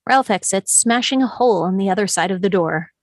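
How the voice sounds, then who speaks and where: A woman's voice: mid-range voice, a little fast, no room sound. A woman reading stage directions as scripted narration for a screenplay table read, close to the microphone.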